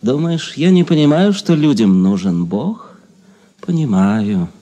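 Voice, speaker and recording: An actor playing a zealous, prickly anarchist propagandist in a radio play, insistent and grandiose. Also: male voice, mid-range voice, big pitch swings, measured pace, mellow. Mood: prickly, defiant. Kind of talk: radio drama acting.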